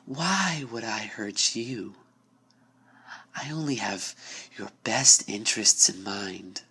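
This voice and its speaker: A man voicing a sinister, seductive door that coaxes slowly in a breathy, falsely soothing whisper, in a horror story reading. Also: on the low side, animated, sharp tone, exaggerated voice. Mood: amused, soothing. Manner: slow, coaxing, faintly amused.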